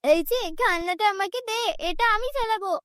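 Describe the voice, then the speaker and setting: A woman's voice: very high voice, brisk, fairly bright tone, no room sound, studio quality, voice pushed up. A woman voicing a bossy, grabby little boy in a cartoon, pushy and insistent. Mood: demanding.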